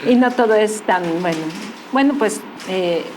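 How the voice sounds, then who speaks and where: A woman's voice: medium pitch, measured pace, noisy background. Chatty woman from Mexico City. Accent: Mexican Spanish, Mexico City. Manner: chatty, storytelling, laughs easily. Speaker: guest. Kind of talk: casual interview chat.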